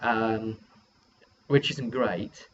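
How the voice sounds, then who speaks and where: A man's voice: on the low side, drawn-out words, fairly bright tone. A man casually recounting a tabletop role-playing session as game master, in a rambling way. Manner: rambling, acts out quoted dialogue informally. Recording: dull lo-fi audio with background noise.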